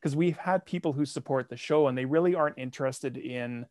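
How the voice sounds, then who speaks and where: Guy podcast guest, middle pitch, wry casual talk, dry recording with no room sound. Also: a little fast, a little mellow, dry recording. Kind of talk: casual conversational chat.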